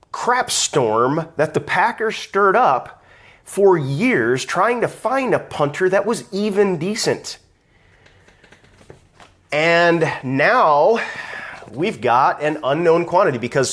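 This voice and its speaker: Male stream host, slightly raspy from allergies, chatty and ranting, greets viewers by name, casual webcam delivery. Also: medium pitch. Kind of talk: casual live video chat.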